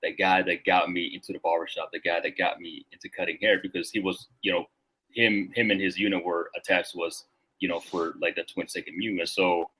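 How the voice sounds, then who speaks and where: A man's voice: sits low, little pitch movement, a little fast, sharp tone, husky, poor audio. A man in his thirties from Rwanda, a Marine veteran guest talking casually and reflectively on a podcast, with lots of filler words.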